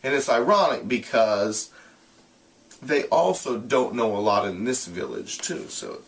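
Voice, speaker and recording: A man reads a story aloud as a teacher would, at a medium pitch. His intonation is very animated, and he sits a little away from the mic. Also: a little slow, creaky, far from the mic, slight background noise. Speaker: teacher reading a novel aloud. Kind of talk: read-aloud narration with casual explanatory asides.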